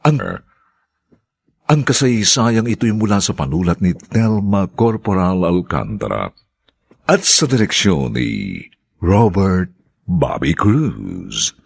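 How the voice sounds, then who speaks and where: A man's voice: sits low, big pitch swings, slow, creaky, husky, dry recording. A man with a Filipino accent narrating a radio drama in a solemn, declamatory, theatrical announcer's style.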